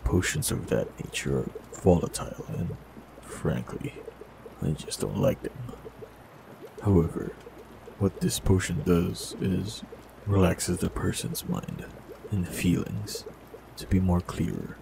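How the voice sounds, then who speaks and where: Deep gravelly voice of a gentle man. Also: slow, noisy background. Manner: calm, gentle, reassuring. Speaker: warlock in an audio roleplay. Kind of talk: scripted audio roleplay, speaking to the listener.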